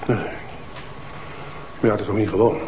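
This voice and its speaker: An actor playing a police detective in a TV crime drama, asking calm, probing questions. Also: male voice, fairly low pitch, takes it slowly. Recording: TV sound with room echo and background noise.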